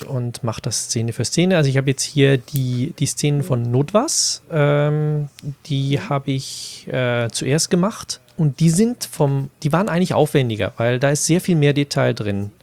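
Casual man with a creaky, dry-recorded voice. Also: on the high side, darkish tone, no room sound. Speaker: audio drama producer and sound designer. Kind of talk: casual group discussion of an audio drama.

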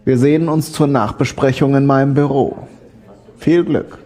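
A man's voice: medium pitch, steady tone, normal speed, warm tone, lightly acted. A man playing a demanding senior partner at a law firm in an audio drama, businesslike and patronising. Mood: pressing, businesslike.

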